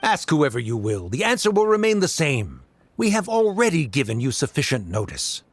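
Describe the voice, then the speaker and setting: An actor playing a cold, condescending academic official in a game who speaks formally and dismissively. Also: male voice, on the high side, sing-song, normal speed. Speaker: official delivering an order.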